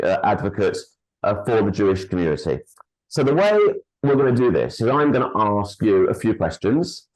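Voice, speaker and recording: Measured, lower-voiced male interview host. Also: a little mellow, rough recording. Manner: measured, with many "uh" pauses. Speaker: host and chief executive. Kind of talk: formal online interview. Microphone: video call.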